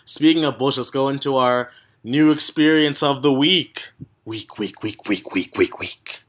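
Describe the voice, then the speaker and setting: Young man, podcast host, casual chat, teasing, rambling, mid-pitched voice. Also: normal speed, a bit bright.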